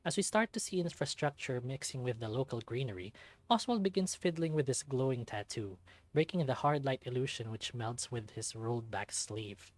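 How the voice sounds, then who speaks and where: A man reading a visual novel aloud as expressive first-person scripted narration. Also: medium pitch, normal speed.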